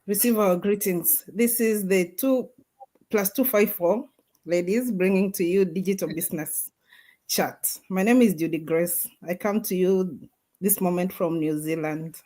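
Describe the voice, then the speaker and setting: A woman speaks over a video call in an earnest, emphatic way, as if testifying. Her voice sits fairly low. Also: measured pace, warm tone. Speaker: guest speaker. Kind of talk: motivational testimony.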